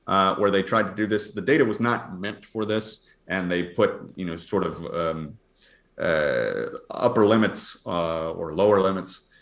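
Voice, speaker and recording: A man speaking as an astronomer guest, explaining science conversationally in a halting, thinking-aloud way. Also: deep voice, fairly bright tone. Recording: roomy, echoey sound.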